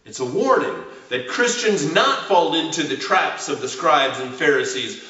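A male pastor delivers a sermon in a bright, forceful voice that rises and falls widely. His phrasing is deliberate and emphatic, broken by dramatic pauses. The recording is roomy and echoing, with the mic at some distance. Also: on the high side, animated. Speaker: pastor preaching. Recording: roomy echo, distant mic, slightly muffled.

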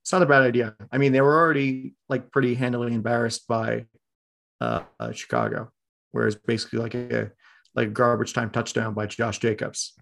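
A man's voice: medium pitch, expressive, brisk, creaky, a bit bright. A man hosting a casual podcast, talking in a laid-back conversational way. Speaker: podcast host.

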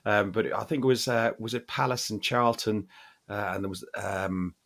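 A middle-aged man hosting a podcast in casual chat, halting and jokey as he goes. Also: fairly low pitch, steady tone, a bit bright. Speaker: podcast host.

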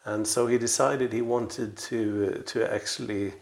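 A man's voice: mid-range voice, fairly even intonation, moderate pace, gravelly. A man in his sixties from Sweden speaking as an interview guest, telling anecdotes conversationally with hesitant, repeated words. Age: 60s. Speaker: interview guest, veteran publisher and editor.

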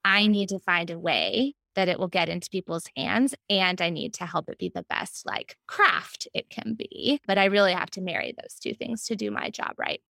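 A woman with an American accent speaking as a podcast guest in an animated, conversational interview style. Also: lowish voice, moderate pace, creaky, hardly any pauses, dry recording. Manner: animated, quotes self and others in anecdotes. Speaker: podcast guest, children's book editor.

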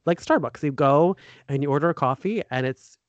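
A man's voice: fairly high pitch, fairly quick, no room sound, highs cut off. An American man hosting a podcast, interviewing in a casual, informal and enthusiastic conversational way. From United States. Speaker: podcast host.

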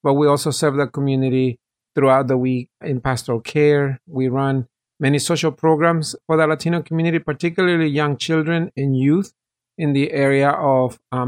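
A man's voice: medium pitch, steady tone, moderate pace. A middle-aged man from El Salvador, a pastor, explaining his work in detail and earnestly as a guest on an interview podcast.

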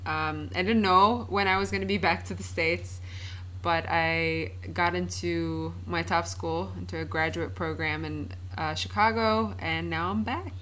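A young woman from Palestine speaks as a podcast guest in a low-set voice. She talks in an earnest, thoughtful way, pausing on fillers as she works out her answers. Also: fairly even intonation, a bit bright, slight background noise. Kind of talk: casual interview conversation.

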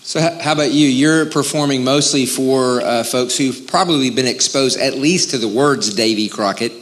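A man asks interview questions in an easygoing, relaxed way. His voice sits at a middle pitch. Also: normal speed.

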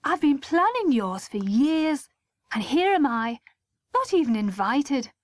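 A woman's voice: on the high side, big pitch swings, normal speed, dry recording. A woman voicing a scandalised middle-aged mother in an audiobook, reproachful and full of indignant questions. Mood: wistful reproach.

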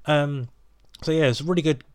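A man's voice: on the high side, brisk, darkish tone, dry recording, studio quality. A man hosting his podcast, sounding bunged up from a cold and rambling with plenty of ums as he chats. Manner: rambling, many ums and restarts. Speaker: podcast host. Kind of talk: casual solo podcast intro.

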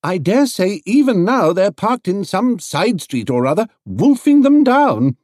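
A man doing a high, gossipy market lady. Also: sing-song, measured pace, a little mellow, dry recording, clean studio sound. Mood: wry. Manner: knowing, gossipy.

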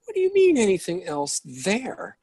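A woman reading the role of a puzzled adult in a play reading, husky and insistent. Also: mid-range voice, huge pitch swings, moderate pace, mellow, exaggerated voice. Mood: bewildered. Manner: insistent, incredulous, repeats herself. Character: puzzled adult person upset by change. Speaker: actor reading second character. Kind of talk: readers theatre play reading.

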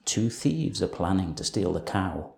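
A man voicing a tiny, clever female ladybird from a children's storybook, explaining in a careful, measured way. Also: low-pitched, very animated, vocal fry, darkish tone, voice pushed down, full character voice. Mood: earnest, careful. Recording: roomy, echoing room sound.

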